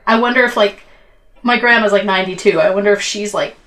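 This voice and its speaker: A woman in her thirties talks as a podcast co-host in loose, casual conversation. Her voice is average in pitch, and her manner is informal and giggly, quick to joke at her own expense. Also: a bit bright. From Canada. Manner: informal, giggly, self-joking. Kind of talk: casual conversational review chat.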